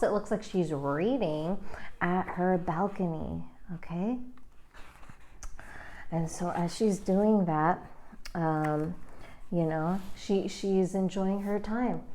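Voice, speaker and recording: A woman's voice: on the low side, a little mellow. A woman reading a children's picture book aloud and chatting about it as she goes, thinking aloud with frequent fillers. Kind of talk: read-aloud with casual commentary.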